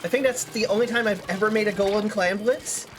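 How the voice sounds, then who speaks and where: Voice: medium pitch, steady tone, fairly quick, soft background music. A streamer with a stuffy, sniffly nose, chatting casually and rambling while playing a game. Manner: chatty, rambling, trailing off mid-sentence. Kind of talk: casual gaming chat.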